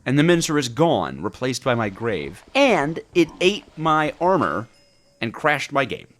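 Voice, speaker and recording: A man's voice: mid-range voice, sing-song, measured pace, fairly bright tone. A man narrating a scripted gameplay story with dramatic, comically exasperated delivery. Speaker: gaming video creator.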